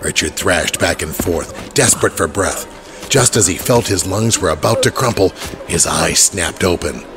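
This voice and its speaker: A man's voice: low voice, a little slow, over music. A man narrating a horror audio drama in a dramatic, scripted storytelling style. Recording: clear horse and carriage ambience behind.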